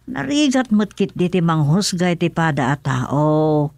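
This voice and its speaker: A woman's voice: on the low side, sing-song, a little slow, darkish tone, no room sound. A woman playing a sensible, confiding villager in a comedic radio drama. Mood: reasoning. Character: sensible villager, passing on rumors yet cautioning fairness.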